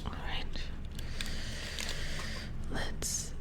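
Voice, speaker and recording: A woman playing a gentle, squeamish robot repair engineer in a hushed, hesitant ASMR roleplay, murmuring to herself. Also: drawn-out words. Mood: gentle, focused. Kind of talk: soft-spoken whispered ASMR roleplay.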